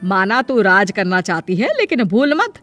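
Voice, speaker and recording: Cartoon matriarch, female actor: older, mid-pitched, proud and complaining about her household. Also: big pitch swings, moderate pace, a bit bright, soft background music. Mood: stern. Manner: scolding, complaining. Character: proud, aggrieved older mother-in-law, guarding her household. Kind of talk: Hindi cartoon family drama acting.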